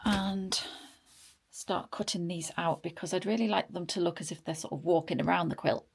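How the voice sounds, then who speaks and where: Husky, creaky British woman. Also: fairly low pitch, steady tone, normal speed. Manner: chatty, informal. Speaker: craft vlog host. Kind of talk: casual tutorial narration.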